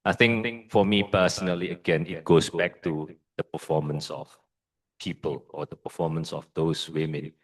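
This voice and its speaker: A man with an Indonesian accent, a guest lecturer answering audience questions in a measured, hesitant way with frequent fillers and restarts. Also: very deep voice, fairly even intonation, poor audio.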